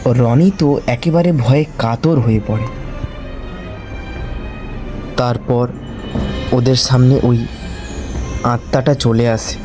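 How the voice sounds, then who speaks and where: A man who narrates a cartoon horror story for his channel, telling it slowly and deliberately. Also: medium pitch, fairly even intonation, music in the background.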